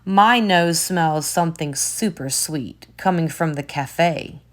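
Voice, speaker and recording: A woman teacher reading a children's picture book aloud, expressive, with soft whispered asides. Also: low-pitched, takes it slowly.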